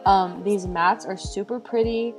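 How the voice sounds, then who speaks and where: A woman's voice: mid-range voice, steady tone, measured pace. A woman co-hosting a game tour, chatting casually and reacting with enthusiasm. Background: background music.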